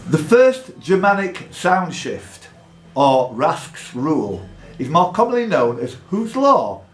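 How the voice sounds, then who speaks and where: A man's voice: a little high, sing-song, takes it slowly. A man hosting a live pub quiz, reading questions formally and chatting jokily in between. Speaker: quizmaster. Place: indoors in a pub. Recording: echoey pub room, music behind.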